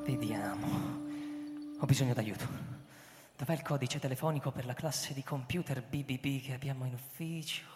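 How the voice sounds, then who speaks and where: An actor playing a troubled man who has found he is an android, reasoning obsessively and haltingly to himself in a radio drama. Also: mid-range voice, close to an everyday voice. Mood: urgent. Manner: halting, repeats words to himself. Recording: reverberant hall, loud music behind. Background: music.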